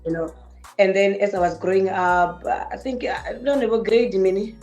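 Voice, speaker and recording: A woman with a Venda accent and a deep voice, a musician recalling her village childhood as a guest on a radio show. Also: normal speed, faint music behind. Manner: relaxed, reflective, mixes English and Venda. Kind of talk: casual radio interview. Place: radio studio.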